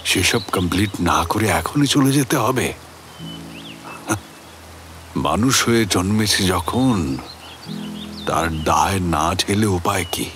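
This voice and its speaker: A man's voice: low voice, expressive, slow pace, long pauses, a bit bright, faint music behind, full character voice. An actor playing an obsessed middle-aged family man who recounts a haunted pursuit in a theatrical, intense suspense narration. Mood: brooding. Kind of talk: dramatic first-person audio story narration.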